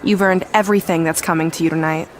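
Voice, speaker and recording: A woman's voice: on the low side, steady tone, fast talker, a little hiss or hum, lightly acted. A woman playing a haughty, snide wrestling rival in a video game cutscene, cool and condescending. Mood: coolly snide.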